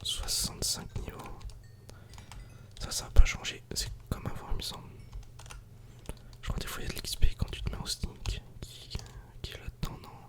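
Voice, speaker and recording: A man whispers a calm, casual ASMR game commentary in a breathy, unvoiced murmur, close to the microphone. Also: normal speed, long pauses. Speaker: ASMR gaming video creator.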